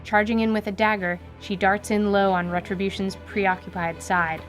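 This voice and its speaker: A woman's voice: mid-range voice, flat delivery, normal speed. A woman giving dramatic, scripted narration for a fantasy radio play. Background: music.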